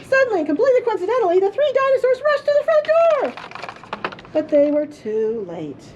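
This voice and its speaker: A woman storyteller reading a children's story aloud in a playful, theatrical way with drawn-out emphasis. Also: very high voice, sing-song, lo-fi sound, noisy background. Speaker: librarian storyteller. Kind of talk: expressive children's storybook reading for a puppet show.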